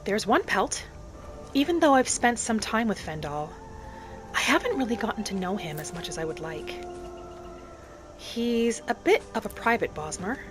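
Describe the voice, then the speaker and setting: A woman voicing a gentle young wood elf alchemist in a fantasy game roleplay, soft-spoken and musing to herself without hurry. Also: on the high side, big pitch swings, moderate pace, pauses often, over music. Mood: calm, musing. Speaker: player of a solo fantasy roleplay video series. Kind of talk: in-character game narration.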